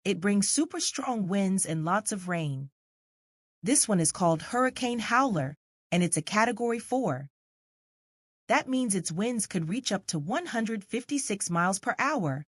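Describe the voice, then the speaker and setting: Calm, serious storybook mom played by a woman. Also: mid-range voice, measured pace, dry recording, studio quality. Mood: instructive. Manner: instructive, reassuring, clear step-by-step explaining. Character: calm, serious, reassuring mother explaining safety. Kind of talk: children's storybook character.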